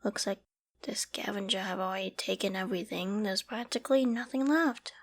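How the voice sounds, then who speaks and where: A woman acting a clingy, secretly obsessive young apocalypse survivor in a dramatic ASMR audio roleplay, with an emotional, halting, stammering delivery. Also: medium pitch, close to an everyday voice. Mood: subdued, resigned. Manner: emotional, halting and stammering, often dropping to whispers and sighs.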